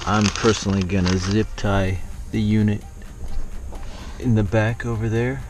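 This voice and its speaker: A man's voice: on the low side, little pitch movement, a little slow, over music. A man giving a casual how-to narration as he talks through a hands-on DIY job for a vlog. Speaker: vlogger showing a DIY truck radio install.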